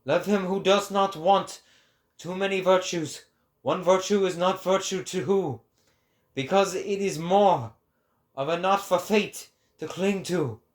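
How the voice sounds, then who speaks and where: A man's voice: sits high, unhurried. A man voicing an impassioned, solemn prophet in a dramatic reading, with declamatory and emphatic phrasing. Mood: exalted, solemn. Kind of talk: dramatic reading of philosophical prose.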